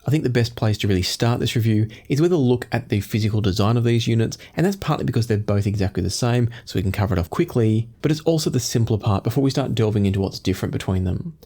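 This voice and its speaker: A man in his forties presenting a product review to camera in a measured, explanatory way. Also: lowish voice, animated, warm tone. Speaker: audio gear reviewer and host. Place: small home studio room indoors.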